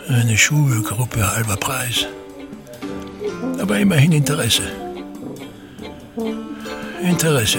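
An actor playing a pompous, self-important older theatre man and tyrannical father, declaiming disdainfully and repeating his phrases in a radio drama. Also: mid-range voice.

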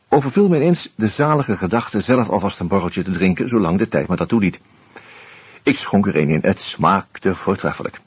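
An actor playing an easygoing amateur sleuth who talks hesitantly and narrates wryly in a Dutch radio drama. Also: male voice, fairly low pitch, darkish tone, husky. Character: Hans, easygoing amateur sleuth and wry first-person narrator.

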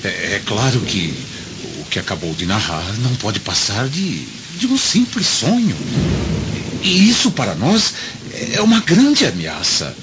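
Low, swooping, sceptical Roman senator. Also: male voice, wildly swooping pitch, measured pace, exaggerated voice. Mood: sceptical, admonishing. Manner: emphatic, theatrical, admonishing. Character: pragmatic sceptical Roman senator, loyal friend and adviser. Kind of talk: dramatic radio play acting. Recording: dull lo-fi audio with storm noise.

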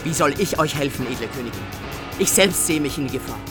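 An actor playing a noble lord in a radio play, formal and courteous with an urgent edge. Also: male voice, medium pitch, animated, fast, over music, close to an everyday voice.

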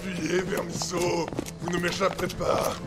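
A man playing a savage, boastful monster in an audio drama, growling slow, grandiose threats. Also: high voice, monotone. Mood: menacing, roaring. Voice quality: gravelly, growling. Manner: grandiose, slow, menacing taunts. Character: savage boastful bone-ripping monster beast, male. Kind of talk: audio drama villain acting. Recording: loud music behind.

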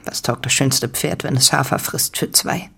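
A woman's voice: rumbling low, steady tone, moderate pace, gravelly, fairly bright tone, slightly rough, full character voice. An actress playing a hard, domineering rich farm woman in a literary radio drama, stern, commanding and scornful. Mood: stern, imperious.

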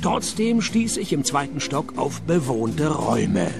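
A gravelly, sardonic private detective who narrates his own radio drama with dry, deadpan wit. His voice sits a touch higher than most men's, with a faint music bed now and then. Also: measured pace, full character voice. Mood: wry. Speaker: narrator and lead. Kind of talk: radio play narration and acting.

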